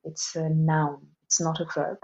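Slow-spoken Indian woman, lowish voice. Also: little pitch movement, mellow, highs cut off, a little hiss or hum. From Assam, northeast India. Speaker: interview guest, yoga teacher. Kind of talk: thoughtful podcast conversation.

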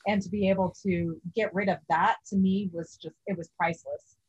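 A woman's voice: medium pitch, steady tone, a little fast, breathy edge, highs cut off. A woman in her forties, a guest in a casual interview, speaking earnestly. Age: 40s.

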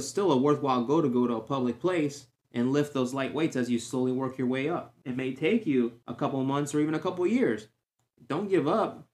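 A man hosting a fitness podcast, giving encouraging advice in a chatty way with joking tangents. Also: mid-range voice. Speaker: certified fitness trainer. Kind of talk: casual solo podcast talk. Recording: roomy echo.

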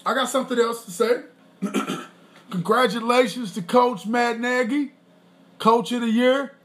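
A man co-hosting a podcast with his wife, talking in a loose, boastful, rambling way and clearing his throat often. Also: very high-pitched, steady tone, measured pace, fairly bright tone. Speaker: podcast co-host. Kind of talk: casual chat.

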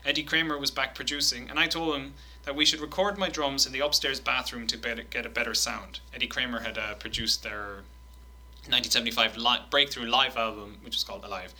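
Bright-voiced man reading quotes. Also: medium pitch, brisk. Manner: animated, swearing, laughing while reading quotes. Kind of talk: casual chat, reading quotes aloud.

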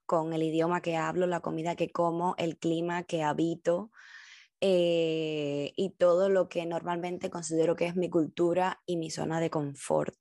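Young Cuban woman, solo podcast host; low-set, dry, close voice; reflective and heartfelt delivery. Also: little pitch movement, mellow, no room sound. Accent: Cuban Spanish. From Cuba. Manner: reflective and heartfelt, with thoughtful pauses.

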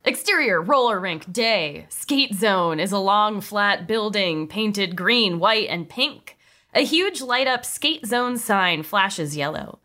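A woman's voice: mid-range voice, lively intonation, slow pace, sharp tone. A woman reading stage directions as narrator of a comedy table read.

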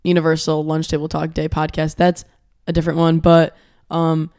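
A young man co-hosting a casual podcast, his voice hoarse and his talk rambling with many fillers. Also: on the high side, completely flat delivery, normal speed, darkish tone, no echo, clean studio sound. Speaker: podcast co-host. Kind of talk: casual chat.

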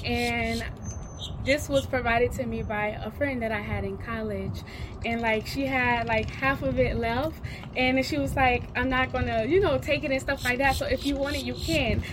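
A young woman talks with a high, bright-sitting voice, candid and emphatic. She is interviewed outdoors, and steady traffic noise is clearly heard behind her. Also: moderate pace. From Florida, USA. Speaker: guest, spiritual reader. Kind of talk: casual interview chat. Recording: noisy outdoor recording.

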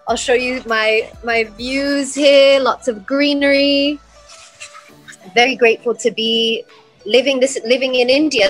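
Woman, from England and the US, slightly high voice, earnest, reflective interview talk, faint music. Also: a bit bright, low-quality recording. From born in England, raised there and in the United States. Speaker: podcast guest, mindset coach and yoga teacher.

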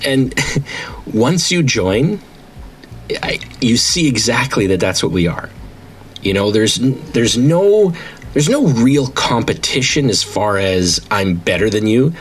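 A man's voice: medium pitch, sing-song, slow pace, husky. A man in his late thirties, talking casually and enthusiastically as the founder of an online wrestling league, rambling with frequent restarts. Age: 30s. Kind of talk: casual monologue to an audience.

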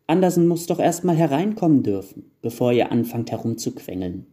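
A man voicing a motherly, brisk gnome wife in a storybook tale. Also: medium pitch, big pitch swings, dark tone, natural voice. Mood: motherly, firm.

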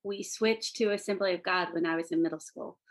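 Slightly low-voiced woman in her fifties. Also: fairly even intonation, a little fast. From Kansas, United States. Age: 50s. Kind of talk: interview self-introduction.

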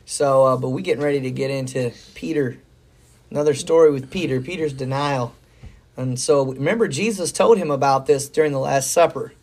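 A hoarse middle-aged man, a pastor co-hosting a podcast, talking in a rambling, folksy and enthusiastic way about faith and church news. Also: medium pitch, brisk, a little mellow. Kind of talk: casual faith talk.

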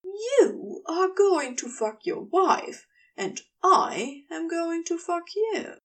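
A woman's voice: very high-pitched, sing-song, takes it slowly, recorded from a distance, voice pushed up, exaggerated voice. A woman voicing a big, stocky, self-assured man in an audio story, teasing and commanding.

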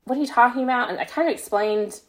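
A woman's voice: on the high side, quick pace, poor audio. A young American woman telling personal stories in casual conversation as a podcast guest, with a modest, self-deprecating manner. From United States. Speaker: podcast guest, fan fiction writer. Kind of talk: casual chat, personal anecdotes.

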